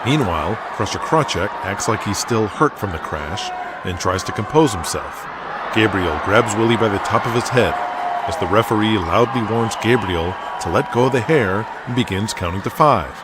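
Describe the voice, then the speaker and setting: Low-voiced male narrator over loud noise. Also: expressive, normal speed, over a noisy crowd. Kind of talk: scripted audio drama narration.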